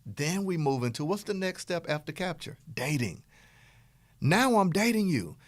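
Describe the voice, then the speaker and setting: A man's voice: fairly high pitch, normal speed, no echo. A man with a Southern US accent, hosting a podcast and casually teaching business strategy with playful acted-out dialogue. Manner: animated, playful, acts out dialogue. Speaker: podcast host, business coach.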